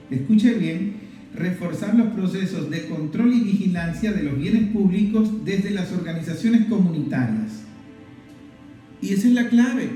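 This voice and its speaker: A Venezuelan man delivers emphatic political commentary in a high, mellow voice. He explains things like a teacher, repeating phrases to drive points home. The room is strongly echoey. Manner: emphatic, didactic, repeats phrases in list-like runs. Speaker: political commentator hosting a program. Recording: very echoey room, faint music. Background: faint music.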